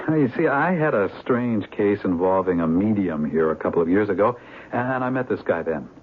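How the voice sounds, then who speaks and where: An actor playing a shrewd, plain-spoken police detective in a 1970s radio drama. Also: male voice, lowish voice, animated, moderate pace, close to an everyday voice. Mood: folksy, confiding. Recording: muffled, hissy 1970s radio broadcast.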